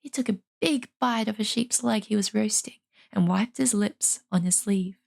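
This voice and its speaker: A woman with a husky voice reading a fantasy novel aloud as dramatic storybook narration. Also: mid-range voice, moderate pace, a little mellow. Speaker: streamer reading an audiobook.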